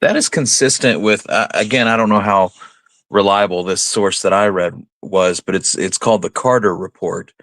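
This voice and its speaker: Deep-voiced man, casual radio co-host. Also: normal speed, fairly bright tone, no echo. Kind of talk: casual conversational discussion.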